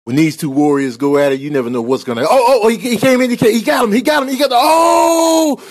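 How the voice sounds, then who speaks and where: A man putting on an emphatic, excitable sports commentator who repeats himself as he calls animal fights in a comedy dub. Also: fast, raised voice. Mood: excited. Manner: booming, emphatic, repetitive.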